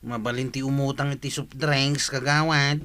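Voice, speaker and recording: An actor playing a broke, lazy tricycle driver who whines and pleads, in a comic radio drama with an Ilocano accent. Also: male voice, mid-range voice, flat delivery, moderate pace, sharp tone, clear, resonant tone, close to an everyday voice. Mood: pleading.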